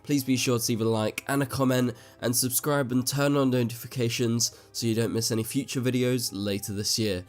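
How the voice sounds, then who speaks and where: A man's voice: medium pitch, fairly even intonation, brisk, hardly any pauses, faint music behind. A man hosting his own online show, breaking down news in a casual, chatty way with frequent asides, his voice a little off as he recovers from an illness. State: voice slightly off after an illness. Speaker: YouTube show host. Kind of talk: casual news commentary to camera.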